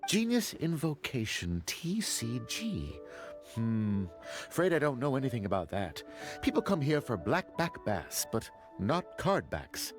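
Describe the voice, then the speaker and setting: Lively, folksy fishmonger. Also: male voice, medium pitch, unhurried. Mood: affable. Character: friendly, eager fishmonger at a market stall. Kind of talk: anime game voice acting. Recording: music behind the voice.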